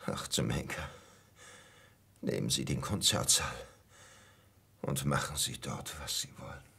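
An actor playing a bitter, lovesick, blustering middle-aged hotel keeper in a German radio play, with an indignant, whining manner. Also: male voice, rumbling low, unhurried, gravelly, pushed and bright, breathy edge, full character voice. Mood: sullen, resigned.

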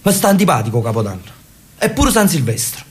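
A brooding old brother. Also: male voice, a little high, very animated, fairly quick. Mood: wry. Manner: brooding, reflective. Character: elderly Neapolitan brother, melancholic and solitary. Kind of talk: radio drama acting.

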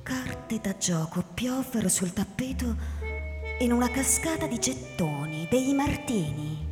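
Female narrator for radio drama, expressive and highish, in deep reverb with music behind. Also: unhurried. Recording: echoey, long reverb, music underneath.

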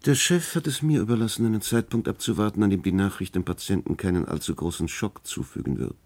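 An actor playing a commanding, dryly ironic hospital doctor in a German radio drama, brisk and authoritative in manner. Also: male voice, on the low side, darkish tone, close to an everyday voice. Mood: firm, sober. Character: worldly male hospital doctor, commanding, wry, calculating.

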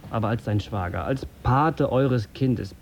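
A man's voice: medium pitch, unhurried, gravelly, a little hiss or hum. A condescending middle-aged editor in a radio drama. He lectures like someone in charge, pompous and sarcastic, with little patience for whoever is in front of him. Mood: stern. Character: condescending, self-important male radio editor and family patriarch. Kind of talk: German radio drama acting.

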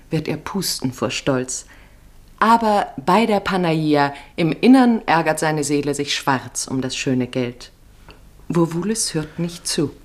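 Low-voiced woman narrating a radio play. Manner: terse, present-tense storytelling.

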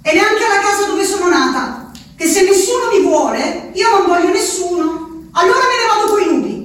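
Feral forsaken girl, extremely high voice, plaintive, insistent, theatrical, heavily echoing space. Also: lively intonation, moderate pace. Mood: defiant. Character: forsaken, defiant, feral young peasant girl. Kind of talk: theatrical dialogue. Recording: very echoey room, distant mic.

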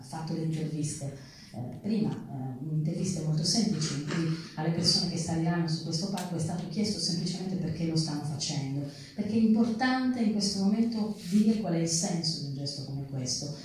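A woman hosting from a theatre stage, addressing an audience in measured, articulate, formal phrases. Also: low voice, a little mellow, slight background noise. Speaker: event host. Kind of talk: spoken introduction and thanks to an audience. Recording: very echoey theatre hall, distant mic.

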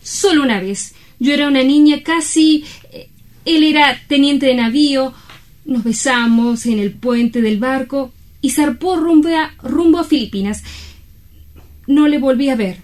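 A woman's voice: high voice, measured pace, a little mellow. An actress playing a melancholy, world-weary romantic lady in a comic stage play, with a wistful, affected and dramatic delivery. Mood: nostalgic.

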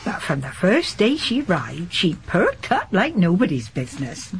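Gossipy landlady, lowish female voice, very animated, folksy radio-drama delivery. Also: normal speed, slight background noise. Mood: chatty, hospitable. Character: gossipy, garrulous older village landlady and charwoman. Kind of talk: broadcast radio drama acting.

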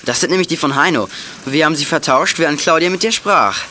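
A man's voice: a little high, quick pace, sharp tone, a little hiss or hum. A young male actor playing an earnest, eager teenage boy detective in a German children's audio drama. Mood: confident.

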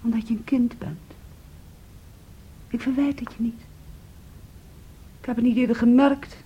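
An actress in a Dutch radio play playing an intense, wounded, possessive young woman, brooding and reproachful, with a breathy delivery. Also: on the high side, fairly even intonation, unhurried, dark tone, muffled recording, slight background noise, close to an everyday voice. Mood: reproachful, resigned. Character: Andrea, intense, wounded, possessive young sister clinging to her brother.

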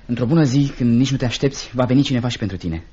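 An actor playing a compassionate young assistant teacher in a radio drama, speaking earnestly and gently with theatrical diction. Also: male voice, mid-range voice, breathy edge, lightly acted. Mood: gentle, consoling. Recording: dull, noisy old radio recording.

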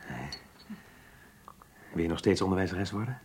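A man's voice: low-pitched, moderate pace, a little mellow. A man playing a kindly, fatherly schoolteacher in a radio play, genial and a little hesitant, on a noisy old recording. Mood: encouraging, measured. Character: kindly, older male schoolteacher, fatherly and measured. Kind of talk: Dutch radio play acting.

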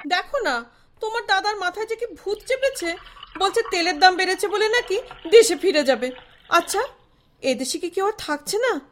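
A middle-aged Bengali wife, played by an actress, practical but easily alarmed, in a comic radio play. Her voice is extremely high and swoops about as she scolds and exclaims. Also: expressive, moderate pace, slight background noise. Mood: exasperated. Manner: nagging, exclamatory. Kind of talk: audio stage-style comedy drama acting.

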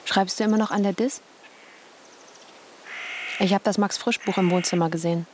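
An actress playing a frank, reproachful wife, speaking pointed, naturalistic radio drama dialogue. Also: female voice, medium pitch, steady tone, normal speed, a little hiss or hum, natural voice.

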